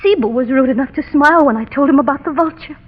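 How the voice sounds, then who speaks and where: A woman's voice: high-pitched, fast talker, natural voice. An actress playing a frightened young woman thought to be mad, speaking anxiously in a 1930s radio drama. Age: young adult. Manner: anxious, trembling. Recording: dull, echoey old radio recording.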